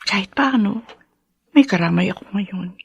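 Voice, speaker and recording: A woman's voice: mid-range voice, lively intonation, normal speed, gravelly, breathy edge, full character voice. An actress playing a lonely, earnest middle-aged woman in a Filipino radio drama, speaking with a heartfelt, sighing manner. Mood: wistful.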